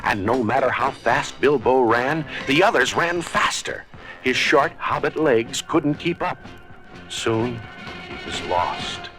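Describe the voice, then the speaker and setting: A man narrating a scripted children's story in a dramatic, deliberate storybook style. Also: mid-range voice, expressive, over music, poor audio.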